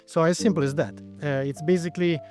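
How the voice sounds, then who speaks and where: Italian man, 40s, founder guest, fairly high voice, hesitant pauses, light music behind. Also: steady tone, darkish tone. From Italy. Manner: thoughtful, with frequent hesitations. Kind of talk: interview answers.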